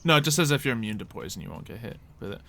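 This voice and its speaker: A man's voice: medium pitch, animated, rapid-fire, vocal fry, bright, forceful tone, a little hiss or hum. A man acting as game master, narrating a tabletop roleplaying game in a casual, informal, jokey way.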